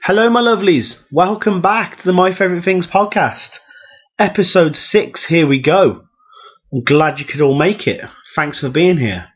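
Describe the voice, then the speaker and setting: A man with a hoarse, sore-throat voice, hosting a podcast in a chatty, enthusiastic, self-deprecating way. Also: sits high, moderate pace. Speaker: podcast host. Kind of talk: chatty solo podcast intro and interview. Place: room at home. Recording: dull, lo-fi home podcast recording.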